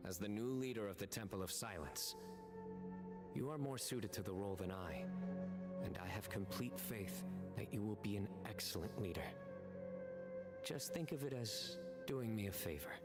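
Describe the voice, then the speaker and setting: An actor playing a stern but sincere young officer in a video game, speaking in a measured, formal way. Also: male voice, lowish voice, lively intonation, lightly acted. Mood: calm, reassuring. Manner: measured, formal, deliberate delivery. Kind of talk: scripted video game dialogue. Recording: loud game music behind. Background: game soundtrack music.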